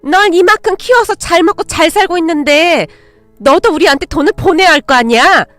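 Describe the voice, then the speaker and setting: A woman voicing a harsh, greedy middle-aged mother in a dramatized story, shrill and accusing. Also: brisk, a bit bright, no room sound, faint music behind. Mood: angry, scolding.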